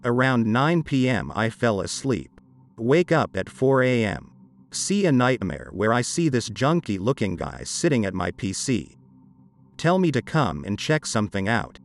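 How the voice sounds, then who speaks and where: Man narrating creepy stories, lively pitch. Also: a little slow. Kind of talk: scripted narration of horror greentext stories. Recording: dark ambient music behind. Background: dark horror background music.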